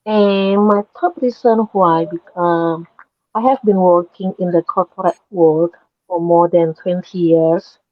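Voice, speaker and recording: A woman's voice: on the low side, steady tone, measured pace, no room sound, muffled recording. A woman from Indonesia speaking as a guest in a casual video-call chat, plain and a little hesitant.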